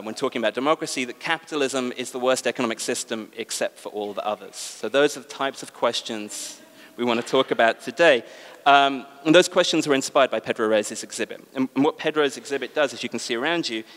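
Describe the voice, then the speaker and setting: British man, debate moderator, mid-pitched voice, lecturing delivery with ums, echoing large hall. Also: fairly bright tone. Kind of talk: opening remarks at a public debate. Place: large exhibition hall with an audience. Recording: long reverb of a large hall.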